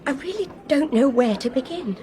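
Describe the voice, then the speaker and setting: An actress playing a highly strung, independent young Englishwoman in a radio drama. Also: lively intonation, moderate pace, a crowd in the background. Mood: distraught, dazed. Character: distressed, aloof young Englishwoman travelling alone abroad.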